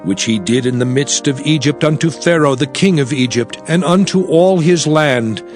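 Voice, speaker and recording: A man playing a solemn prophet exhorting his people in a measured, declamatory scripture drama. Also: fairly high pitch, lightly acted. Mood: solemn exhortation. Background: music.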